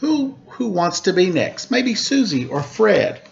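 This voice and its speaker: A man's voice: sits high, big pitch swings, a little slow, gravelly, voice pushed up, heavily acted. A man voicing a kindly woman schoolteacher in a storybook, sweet and encouraging. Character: kindly woman schoolteacher addressing her class. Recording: dull lo-fi sound.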